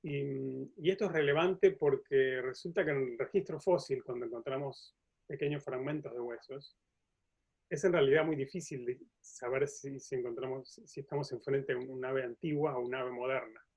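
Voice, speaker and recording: A man's voice: mid-range voice, fairly even intonation, normal speed, a little mellow, slightly rough. A man with a Uruguayan accent giving an informal science lecture as a professor, explaining things conversationally. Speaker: museum curator and professor giving a talk. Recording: dull, lo-fi audio.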